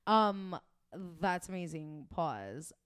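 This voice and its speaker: A woman's voice: lowish voice, very animated, very slow, a bit bright, clean tone, no echo, studio quality. A woman in a casual podcast chat, talking animatedly, swearing freely and laughing often.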